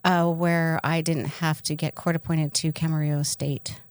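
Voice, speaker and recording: A woman's voice: low-pitched, level tone, moderate pace, clear, resonant tone, dry recording. A woman co-hosting a podcast, chatting candidly and telling personal stories with bawdy, joking frankness. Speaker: podcast co-host. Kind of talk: candid casual chat, personal storytelling.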